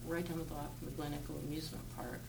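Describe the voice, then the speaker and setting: Low-voiced older Southern woman, distant mic. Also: level tone, gravelly. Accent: Virginia Southern. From Virginia, US South. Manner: reflective, frequent "uh" pauses, laughs easily. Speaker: interviewee. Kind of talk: oral history interview. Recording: roomy, distant mic, noisy background.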